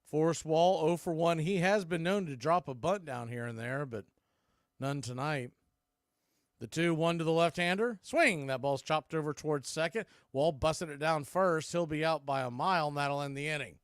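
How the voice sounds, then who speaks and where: A man's voice: on the high side, normal speed, clean tone, no room sound, clean studio sound. A man calling live baseball play-by-play for radio in clipped, punchy phrases. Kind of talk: live radio sports commentary.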